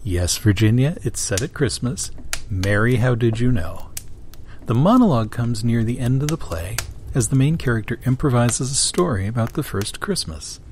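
A man's voice: medium pitch, lively intonation, normal speed, darkish tone, slight background noise. A man hosting a radio theater show, giving a jokey, playful scripted introduction.

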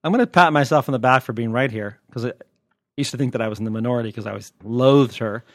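A man's voice: medium pitch, fast talker, no echo, studio quality. A man co-hosting a podcast in casual, opinionated banter, blunt and wryly teasing. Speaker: podcast co-host.

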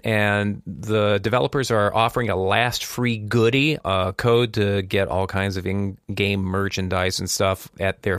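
A man's voice: fairly low pitch, vocal fry, a bit bright, no room sound, clean studio sound. A man hosting a podcast, talking through news in a chatty, explanatory way and putting questions to a co-host. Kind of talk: conversational news commentary.

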